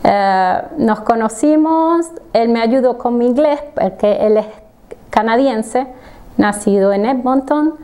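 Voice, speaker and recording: Slow, soft-spoken Venezuelan woman. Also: fairly high pitch. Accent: Venezuelan Spanish. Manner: unhurried and hesitant, with many soft fillers. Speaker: doctor and former language student. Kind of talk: personal testimonial to camera. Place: indoor room with plants. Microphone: medium shot from a fixed camera.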